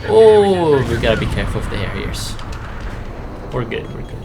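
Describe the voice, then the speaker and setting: A man's voice: lowish voice, flat delivery, fairly quick, vocal fry. A man giving casual, rambling, explanatory gameplay commentary on a video game. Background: game music and aircraft sounds.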